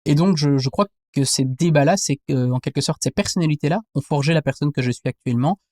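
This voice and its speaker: A man's voice: on the high side, quick pace, a little mellow, no room sound, studio quality. A man, reflecting thoughtfully in a conversational interview and rephrasing as he goes. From Belgium. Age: 20s. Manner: thoughtful, searching, reformulates as he goes. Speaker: interview guest, anthropologist.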